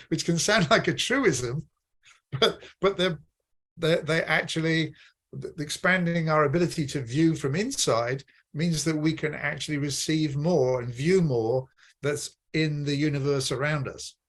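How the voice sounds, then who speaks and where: A man from the Midlands of Britain talking through ideas on personal development in a measured, explanatory way, restarting phrases as he goes. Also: a little high, fairly bright tone. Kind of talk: reflective conversation on personal development.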